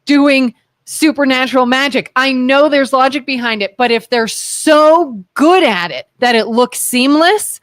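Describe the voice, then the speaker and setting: A woman's voice: high-pitched, measured pace, no room sound. A woman from Ohio co-hosting a casual radio talk show, telling stories and acting out the voices in them. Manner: animated storyteller who acts out the voices in her anecdotes. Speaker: co-host. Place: radio studio.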